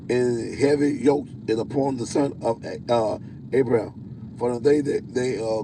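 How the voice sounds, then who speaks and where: A man with a deep voice preaching on a livestream. He reads scripture slowly, stumbling and repeating words. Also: background noise. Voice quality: self-described deep voice. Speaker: self-styled prophet hosting a livestream.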